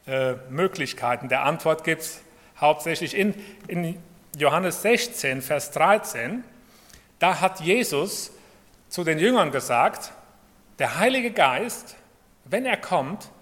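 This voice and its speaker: A man's voice: fairly high pitch, a bit bright. A man preaching a sermon in in a conversational way, asking his listeners rhetorical questions. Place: church hall. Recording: long reverb of a hall.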